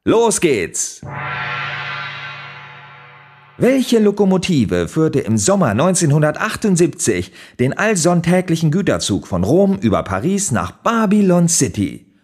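Showy quizmaster, audio drama; slow, drawn-out theatrical delivery, wildly animated, music and audience behind. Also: male voice, fairly high pitch, slightly rough, exaggerated voice. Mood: suspenseful, showy. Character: showy, teasing male TV game show host. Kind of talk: game show presenting in an audio drama.

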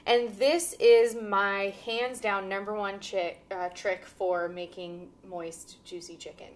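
Woman in her 30s, cooking host, average pitch, upbeat, chatty, laughing, home kitchen, lapel mic. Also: steady tone, slow. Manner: upbeat, instructive, laughs often. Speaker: cooking show host and teacher. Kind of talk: casual cooking demonstration.

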